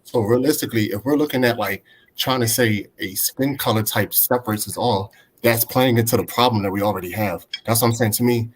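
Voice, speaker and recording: A man's voice: mid-range voice, breathy edge, low-quality recording. A man speaking as a panelist in a casual livestream discussion, emphatic and full of fillers. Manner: fast, emphatic, uses filler like. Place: livestream video call.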